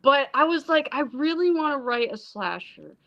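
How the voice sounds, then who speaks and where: A woman from Iceland talking casually as an interview guest about her film projects, rambling and animated, acting out quotes as she goes. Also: high voice, normal speed, vocal fry, highs cut off. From born in Iceland, Icelandic mother and American father. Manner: animated, rambling storyteller who acts out quotes. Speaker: interview guest, independent filmmaker and actress.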